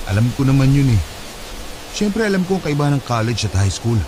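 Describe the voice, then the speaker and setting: A man's voice: mid-range voice, big pitch swings, a little fast, lightly acted. Brief: man as touchy husband, blunt and defensive, hissy noise behind. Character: husband, a defensive freelance designer.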